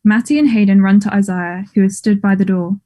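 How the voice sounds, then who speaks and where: Female narrator, mellow, medium-pitched, reads scripted stage directions. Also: steady tone, a little fast.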